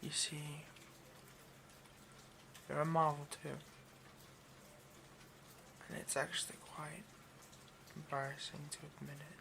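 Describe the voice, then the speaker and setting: Guy doing a hungry possessive vampire for asmr, slow halting murmurs, gravelly, noisy background. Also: on the high side. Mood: confessing, intense. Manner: slow, halting, breathy murmurs slipping into whispers. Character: obsessive, hungry male vampire, possessive and seductive. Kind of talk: ASMR roleplay, intimate dramatic monologue.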